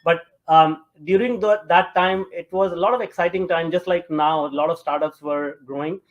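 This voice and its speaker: A man from Punjab with a North Indian accent, answering as an interview guest in a halting, conversational way with many fillers. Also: fairly high pitch, muffled recording. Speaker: interview guest, tech entrepreneur.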